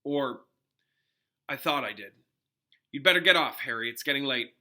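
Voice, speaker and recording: A man voicing an adult wizard teacher who is caring, guarded and firm, with a measured mentoring manner, in a storybook reading. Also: mid-range voice, expressive, sharp tone.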